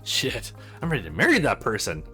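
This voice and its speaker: A man narrates a visual novel playthrough in a casual, theatrical way. His mid-range voice jumps widely in pitch as he acts out dialogue and comments on it. Soft music plays behind him. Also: wildly swooping pitch, brisk. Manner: acts out characters' lines with exclamations. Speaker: let's play host.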